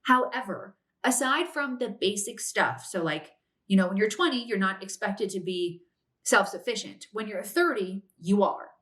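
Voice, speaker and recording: A woman's voice: mid-range voice, lively intonation, normal speed, fairly bright tone. A woman in her late twenties hosting a podcast, giving casual advice in a chatty way, often using "like" and "if that makes sense". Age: 20s. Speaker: podcast host.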